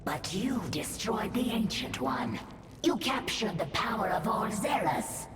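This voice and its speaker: Echoing, imperious alien creature. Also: female voice, medium pitch, big pitch swings, measured pace. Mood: probing. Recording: long reverb, distant, faint music.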